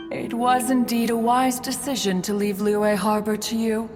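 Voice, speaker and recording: A woman's voice: a little high, fairly even intonation, a little slow, big-room sound, music in the background, natural voice. A woman voicing a dignified ancient female crane adeptus in a game, speaking formally and referring to herself as "one". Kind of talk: game voice acting.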